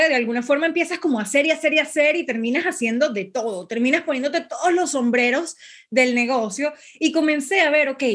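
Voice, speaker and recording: A woman hosting a podcast, effusive and chatty, laughing often as she talks with her guest. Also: high-pitched. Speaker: podcast host. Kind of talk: casual podcast interview.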